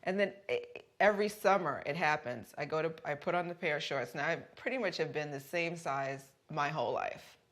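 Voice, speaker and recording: A woman in an interview, animated and self-mocking about her changing body. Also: low voice, a little fast, few pauses. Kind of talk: personal reflection.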